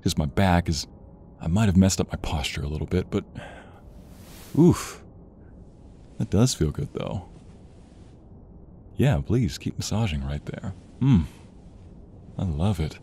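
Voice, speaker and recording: A man's voice: deep voice, expressive, a little slow, pauses often, mellow, a little hiss or hum. A man playing a playful, doting boyfriend at a home gym in a romance audio roleplay, chatting in a teasing, affectionate way with small grunts. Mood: winded, easygoing, then relieved, pleased.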